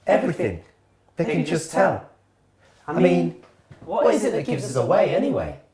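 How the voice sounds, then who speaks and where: A man's voice: a little high, wildly swooping pitch, normal speed, a loud crowd. A man from England hosting a reflective talk to camera in his kitchen, musing and asking questions, often laughing. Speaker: host. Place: home kitchen.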